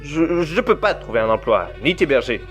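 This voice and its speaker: A man plays a protective, alarmed husband in an audio drama. The voice is fairly high and very lively, stumbling through tense protests, with music behind it. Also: normal speed. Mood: firm, exasperated. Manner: hesitant, stammering, tense protests. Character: alarmed, protective young husband facing an intruding double. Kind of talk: amateur audio drama acting.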